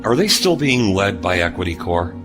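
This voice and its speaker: Retired male captain acted by a man, dry humour, lively intonation, sci-fi audio drama, music underneath. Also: low-pitched, moderate pace. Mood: probing. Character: retired male starship captain, wry and measured.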